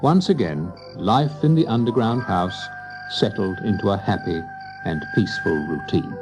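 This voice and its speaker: A man narrating a scripted children's story in an expressive storytelling manner. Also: fairly low pitch, moderate pace, over music, low-quality recording.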